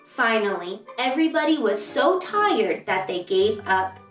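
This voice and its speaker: A woman with a fairly high voice reads a children's storybook aloud in an expressive, deliberate way. She sounds a little distant from the microphone, in a roomy space with some echo. Music plays along behind her. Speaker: host of a children's read-aloud show.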